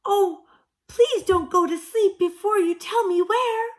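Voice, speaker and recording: A woman voicing an anxious, excitable mother kangaroo who wails and pleads in a high voice. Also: takes it slowly. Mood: pleading.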